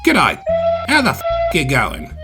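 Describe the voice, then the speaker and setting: A man's voice: on the high side, wildly swooping pitch, a little slow, loud music behind the voice, pitched above the performer's own voice. A man voicing a friendly, mischievous big steam engine with an Australian accent, casual and slangy, in a storybook tale. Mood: playful teaching.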